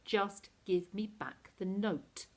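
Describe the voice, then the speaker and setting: A woman's voice: mid-range voice, slow. Woman acting a hot-tempered storybook girl. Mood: angry. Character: hot-tempered, protective big-sister girl narrating her story.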